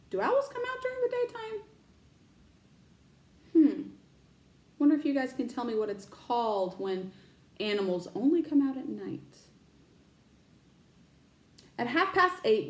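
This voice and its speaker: A high-voiced woman reads a storybook aloud with lots of rise and fall in her voice. She is a teacher, expressive, and she pauses to explain words to her young listeners. The sound carries an echo from the room around her. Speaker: teacher reading aloud to children.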